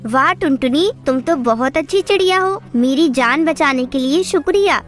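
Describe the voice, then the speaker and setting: A woman plays a wise, magical lady fish from a children's cartoon. The voice is high, gentle and reassuring, with faint music underneath. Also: animated, moderate pace. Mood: grateful. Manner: kindly, reassuring. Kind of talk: children's cartoon story acting.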